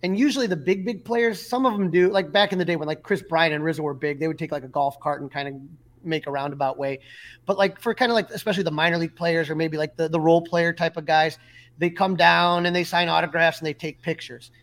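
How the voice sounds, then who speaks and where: A man co-hosting a sports podcast, his voice sitting a little high for a man. He rambles through stories and game recaps in a loose, filler-heavy way. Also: steady tone, fast talker, a bit bright. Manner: storytelling, lots of fillers. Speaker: podcast co-host. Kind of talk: casual sports chat.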